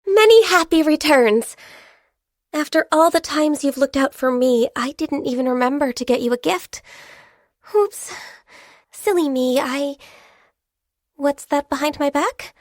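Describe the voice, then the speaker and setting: Game casting: youthful, high-voiced half-Qilin girl, centuries old, shy, polite and diligent, dry studio sound. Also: unhurried, long pauses, no room sound. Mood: bashful. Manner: soft-spoken, polite, earnest, formal wording. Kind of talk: video game character voice lines.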